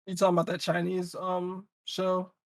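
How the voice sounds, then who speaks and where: A man's voice: high voice, completely flat delivery, normal speed, creaky. A man talking casually as a panelist in a group conversation. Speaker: podcast panelist.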